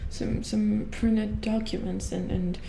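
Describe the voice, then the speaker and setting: A woman playing an uneasy robot repair engineer in a soft-spoken horror ASMR roleplay, hesitant and stammering, often dropping to a whisper. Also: medium pitch, little pitch movement, takes it slowly, vocal fry. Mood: flustered, compliant. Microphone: close ASMR microphone. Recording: roomy echo, light background noise.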